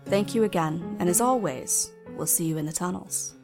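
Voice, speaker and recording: A woman giving a crisp, cheerfully corporate closing announcement as a company manager. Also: on the low side, moderate pace, music in the background, natural voice. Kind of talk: scripted announcement.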